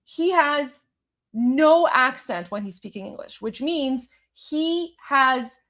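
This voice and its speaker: A woman with a Toronto accent hosting a live show, commenting on a clip in an animated way, repeating her points with many fillers. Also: sits high, slow pace. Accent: Canadian, Toronto. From Canada. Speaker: host.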